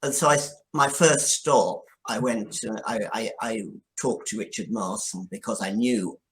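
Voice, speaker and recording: A man speaking as an interview guest, telling an account conversationally with hesitant, halting starts. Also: lowish voice, a bit bright, rough recording. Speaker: guest, scriptwriter. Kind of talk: interview answer, conversational storytelling.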